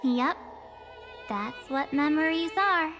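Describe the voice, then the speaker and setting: A enigmatic young spirit girl from a video game, high in pitch and very unhurried. She is calm, gentle and solemn, with string music clearly playing behind her. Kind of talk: English video game voice acting.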